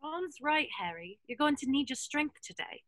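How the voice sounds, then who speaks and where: Earnest schoolgirl, played by a woman: highish, very animated, precise and questioning, close dry sound. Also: normal speed, dry recording. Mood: earnest concern. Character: bright, earnest, concerned schoolgirl. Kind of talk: screenplay table read.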